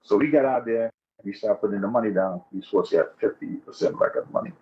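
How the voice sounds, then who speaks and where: Man from Trinidad, lowish voice, chatty slangy caller, casual storytelling, dull lo-fi audio. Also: quick pace, a little hiss or hum. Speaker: guest investor caller. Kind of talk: casual account of personal experience.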